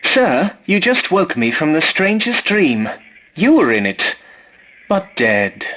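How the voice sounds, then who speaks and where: A fussy, swooping robot butler. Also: male voice, a little high, big pitch swings, takes it slowly, sharp tone, heavily acted, low-quality recording. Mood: flustered, prim. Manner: prim, formal, dryly sarcastic. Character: fussy, polite, snarky robot scavenger butler. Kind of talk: scripted video game character lines.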